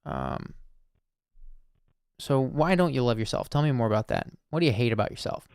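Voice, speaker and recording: Gravelly, smooth-voiced male host. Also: medium pitch, wildly swooping pitch, moderate pace, dry recording, studio quality. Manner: soft, searching, many fillers. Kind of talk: listening call-in conversation.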